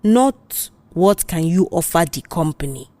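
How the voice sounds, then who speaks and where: A woman's voice: fairly low pitch, expressive, measured pace, a little mellow, dry recording. A Nigerian woman voicing a smug, predatory male manager in an audio drama, taunting and condescending. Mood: smug, menacing.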